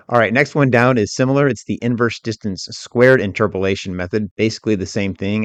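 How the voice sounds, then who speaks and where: A man working as an instructor, narrating a software tutorial in a methodical, informal, step-by-step way. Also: fairly low pitch, dry recording.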